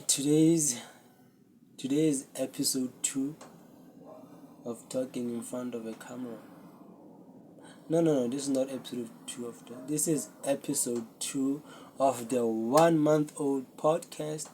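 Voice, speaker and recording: A young man, a Zulu speaker, hosting his own podcast and talking earnestly and reflectively to a camera with many pauses. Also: mid-range voice, dark tone, slight background noise, rough recording. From South Africa. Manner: slow, earnest, self-searching, with pauses. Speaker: podcast host. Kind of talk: solo reflective talk to camera.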